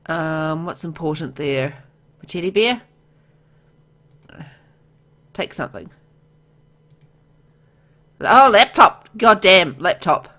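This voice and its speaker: A woman with a low voice narrates a story game aloud in a let's play style. She reads the text as a story and drops in casual remarks of her own as the player. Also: expressive, slow, pauses often, a bit bright. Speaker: gamer reading a visual novel aloud.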